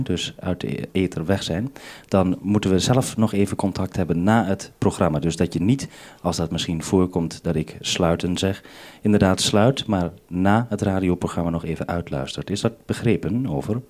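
A man's voice: low-pitched, moderate pace, darkish tone. A Dutch man working as a radio programme maker, giving methodical, instructive briefings over a two-way radio link.

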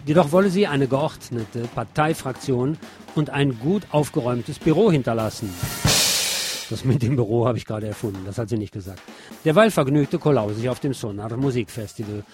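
A man's voice: mid-range voice, darkish tone. A man reading scripted radio news and commentary in a wry, sardonic manner. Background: guitar music.

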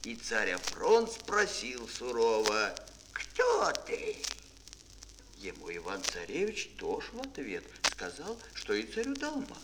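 Мужчина театрально и декламационно рассказывает детскую сказку как рассказчик аудиоспектакля. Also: male voice, fairly low pitch, huge pitch swings, a little slow, hardly any pauses. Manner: theatrical, declamatory. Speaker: narrator. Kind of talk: dramatic fairy-tale narration for children. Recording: old mono record, faint noise.

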